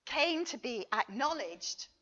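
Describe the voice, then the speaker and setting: A woman reading a scripted academic paper as a conference speaker, with measured, deliberate and emphatic phrasing. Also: high voice, sing-song, sharp tone, dull audio.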